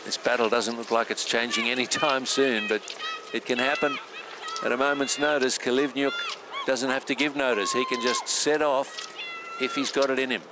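Male race commentator, mid-pitched, steady measured delivery, noisy outdoor broadcast feed. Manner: measured, steady play-by-play with filler pauses. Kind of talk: live sports commentary.

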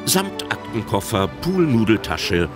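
A man's voice: on the low side, very animated, unhurried, music in the background. A man narrating a comedy radio play from a script in a wry, dramatic storytelling manner.

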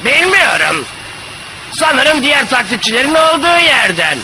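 A man's voice: extremely high pitch, sing-song, moderate pace, heavily acted. A man voicing a touchy, self-pitying imitation crab in a cartoon dub, whining and complaining melodramatically. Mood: glum, self-pitying. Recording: clearly noisy cartoon soundtrack.

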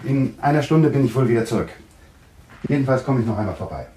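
An actor playing a stern, brisk doctor in a German thriller radio play, authoritative and measured. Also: male voice, medium pitch, expressive, dark tone, slight background noise, natural voice, poor audio. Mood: businesslike.

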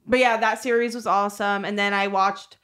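A woman's voice: medium pitch, level tone, brisk, fairly bright tone, clear, resonant tone. Rambling, swearing young woman podcaster. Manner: rambling, swears freely, lots of fillers. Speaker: podcast co-host. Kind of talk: casual chat. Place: small room, seated on chairs. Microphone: studio mic on desk stand.

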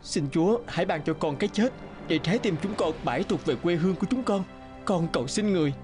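A man's voice: sits high, expressive, fast, a little mellow, faint music behind. A man voicing a proud, defiant young captive warrior in a cartoon, earnest and impassioned. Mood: desperate resolve. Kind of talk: dramatic cartoon dubbing.

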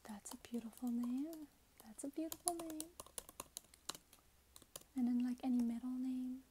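A woman in her twenties speaking in a hushed, breathy whisper, gently role-playing a customer service clerk for ASMR. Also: sits high, measured pace, background noise. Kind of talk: soft-spoken ASMR role-play. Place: small plain indoor room.

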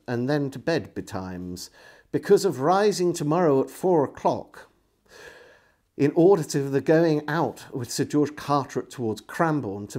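A middle-aged man reading a historical diary aloud as a storyteller, in an expressive, measured way. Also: mid-range voice. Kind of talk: dramatic literary reading. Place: indoors, small room lined with bookshelves.

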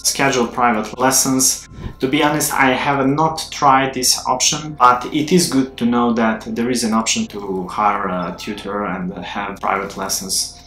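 A man's voice: medium pitch, fairly bright tone. Serbian-accented man reviewing an app. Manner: earnest, methodical, formal phrasing. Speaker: language learning youtuber. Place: small bedroom with red and blue lighting, indoors. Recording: echoey small room.

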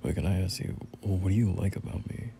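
A young man playing a bashful, teasing friend turned boyfriend in an intimate roleplay audio, speaking in halting, murmured slang. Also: sits low, gravelly, warm tone, slight background noise. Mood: stunned, unsure.

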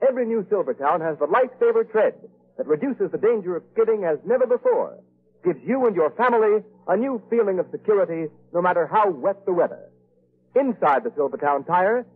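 Old time radio announcer guy, high husky voice, emphatic ad read, tinny recording. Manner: emphatic, formal salesman delivery. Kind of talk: 1930s sponsored advertisement read.

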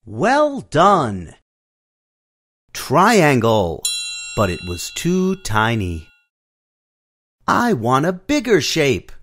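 A man reads a children's picture book aloud for a storybook app, pausing to coax a young listener into finding the shapes. He talks very slowly and lets his voice climb and dip in a wide, playful sing-song. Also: medium pitch, a bit bright. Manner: slow, sing-song, coaxing prompts to a child. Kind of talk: children's read-along narration.

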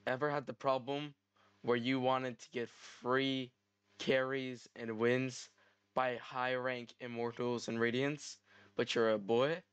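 A man hosting a software tutorial, narrating step by step in an instructional style. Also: mid-range voice, flat delivery, slow pace, clear, resonant tone, dry recording, muffled recording. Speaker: tutorial host.

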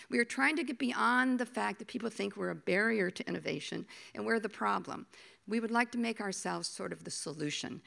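A middle-aged woman giving a conference talk at a podium, hoarse from a cold, halting and repeating words as she thinks. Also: medium pitch, fairly bright tone. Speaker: panel speaker, agency official. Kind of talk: conference presentation. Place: conference hall stage, at a podium. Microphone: podium microphone.

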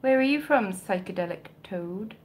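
A woman's voice: mid-range voice, animated, moderate pace, a little hiss or hum. A woman from South Wales in her thirties with a mild Welsh accent, chatting casually and joking as she answers comments on a home live stream. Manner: chatty, self-mocking, jokey asides. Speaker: vintage clothing reseller, livestream host. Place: home bedroom with shelves, indoors. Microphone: webcam or phone close up.

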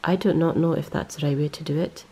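Low gravelly woman, rambling knitting chat. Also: fairly even intonation, fast, mellow. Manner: rambling, self-correcting, lots of ums. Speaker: knitting vlogger. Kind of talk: casual show-and-tell. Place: indoors, close-up on hands and yarn.

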